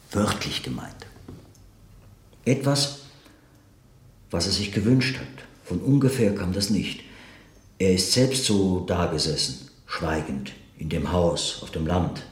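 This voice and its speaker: A man's voice: sits low, reverberant, full character voice. An actor playing a reflective man in a radio play, searching hesitantly and earnestly for words as he remembers a friend. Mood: reflective, recollecting. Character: reflective man recalling an enigmatic late writer friend. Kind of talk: naturalistic German radio play acting, interview-like monologue.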